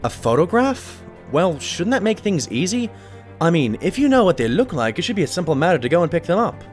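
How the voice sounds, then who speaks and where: A man voicing a genial doctor pony, a detective's companion, narrating in an expressive, wry storytelling manner. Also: a little high, brisk. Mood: puzzled. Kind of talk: storybook-like first-person narration in audio drama. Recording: music bed behind the voice. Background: background music.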